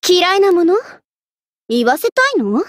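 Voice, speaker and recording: A woman voicing a haughty, vain young Egyptian queen in a Japanese anime game, imperious and theatrical, quick to get flustered. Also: very high-pitched, expressive, dry recording, clean studio sound.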